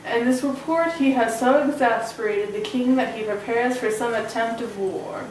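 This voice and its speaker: A woman playing a nobleman who delivers grave news in a measured, solemn way. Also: on the high side, darkish tone, very echoey, far from the mic, slight background noise, natural voice, low-quality recording. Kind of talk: amateur Shakespeare performance.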